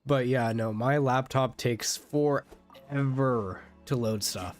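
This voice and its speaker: A man's voice: mid-range voice, slow, faint music behind. A man streaming a game with casual, slangy, rambling live commentary.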